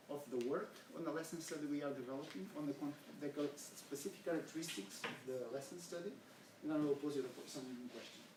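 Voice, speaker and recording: Portuguese guy presenting research to a small group, kinda high voice, roomy echo, faint hiss. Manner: earnest, poses questions to the audience. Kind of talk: academic seminar presentation. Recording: echoey room, from far off, faint hiss.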